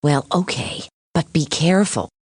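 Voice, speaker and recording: A woman voicing a sensible but anxious cartoon lawyer with a measured, teacherly manner. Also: low voice, darkish tone, slightly rough, no room sound. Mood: cautious. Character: sensible, anxious female lawyer mentoring a boy. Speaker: lawyer character. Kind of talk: animated courtroom cartoon voice acting.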